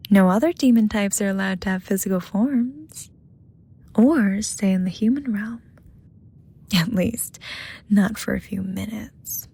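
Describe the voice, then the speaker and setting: A woman's voice: mid-range voice, a little slow, vocal fry, mellow, husky. A woman voicing a seductive, possessive lust demon in a romantic ASMR roleplay, smug and teasing, often dropping into whispers. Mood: coaxing, intimate.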